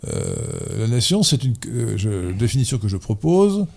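A man in a casual debate, erudite and digressive, often pausing on fillers and correcting himself. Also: mid-range voice, big pitch swings, vocal fry, a little mellow. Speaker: debate guest.

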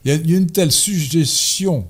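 A man in a casual debate, erudite and digressive, often pausing on fillers and correcting himself. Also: on the high side, husky. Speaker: debate guest.

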